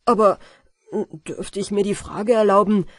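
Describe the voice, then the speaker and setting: A woman's voice: medium pitch, steady tone, dry recording, clean studio sound, natural voice. A woman voicing a polite, wary fisherman who speaks in a deferential, astonished way, reading a storybook. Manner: deferential, astonished, slow and careful. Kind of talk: storybook character voice.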